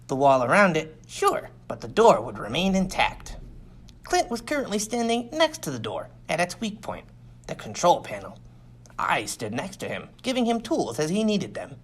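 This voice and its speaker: Man narrating with an animated, wide-ranging pitch. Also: moderate pace, husky. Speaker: audiobook reader. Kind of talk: scripted first-person sci-fi story narration.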